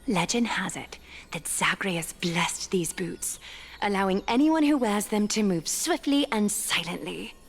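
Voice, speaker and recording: An actress playing an elegant, composed, wealthy seamstress in a video game, speaking in a measured, knowing way. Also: female voice, medium pitch, expressive, a little hiss or hum. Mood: gracious, knowing. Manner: poised, measured, gently knowing.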